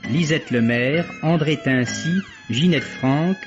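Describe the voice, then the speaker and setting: Music plays loudly behind a man announcing credits on a dull vintage radio recording. He reads very slowly and formally, in a measured, declamatory way. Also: on the high side. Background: loud music.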